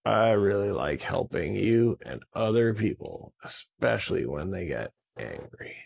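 A man's voice: low voice, very animated, unhurried, creaky, breathy edge, muffled recording, full character voice. Man playing a kind, earnest young storybook hero. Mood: friendly, curious. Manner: encouraging, earnest. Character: kind, helpful young male hero calming his friend.

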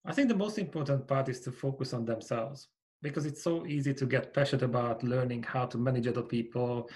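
A man's voice: mid-range voice, fairly quick, darkish tone. Earnest Hungarian-accented man. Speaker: guest, founder and coach. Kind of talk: podcast interview.

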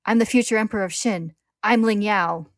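A woman acts a young prince full of pride and fierce resolve in a dramatic tale. He pushes his words out loudly, strained and stubborn. The sound is close and dry. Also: fairly low pitch, little pitch movement, a little slow, no room sound. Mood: proud, gritted. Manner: emphatic, strained, forceful.

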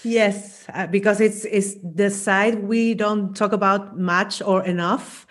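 A woman's voice: medium pitch, fairly even intonation, takes it slowly. A woman in her forties from Chile, a psychologist guest talking chattily with frequent laughs and restarts. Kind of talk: conversational interview.

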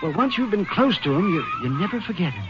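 A man's voice: high-pitched, big pitch swings, quick pace. An American man acting a nervous, fussy small-town school principal in a 1950s radio drama, hesitant and stammering as he talks. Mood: uneasy, fretful. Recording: noisy, muffled old radio broadcast recording.

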